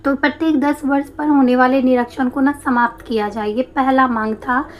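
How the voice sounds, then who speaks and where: High-pitched, slightly hoarse woman teacher. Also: steady tone, moderate pace, slight background noise. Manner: explains step by step, asks and answers her own questions. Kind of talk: exam-prep history lesson.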